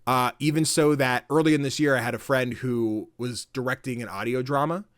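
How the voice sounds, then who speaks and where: A young man co-hosting a casual podcast, talking excitedly, swearing often and acting out game dialogue in higher voices. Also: a little fast, no room sound, studio quality. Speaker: podcast co-host.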